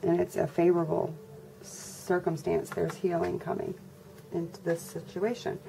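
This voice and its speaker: A congested woman giving a casual tarot reading to her viewers in a flat, monotone way. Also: moderate pace, creaky. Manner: monotone, low-energy delivery. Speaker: tarot reader. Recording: noisy recording with birds chirping. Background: birds and background noise.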